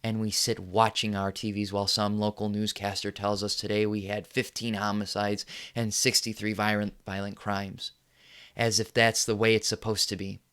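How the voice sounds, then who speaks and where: An actor playing a furious middle-aged TV newsman who delivers an impassioned on-air rant that repeats itself and builds to yelling. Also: lowish voice, clear, resonant tone. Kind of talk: film monologue.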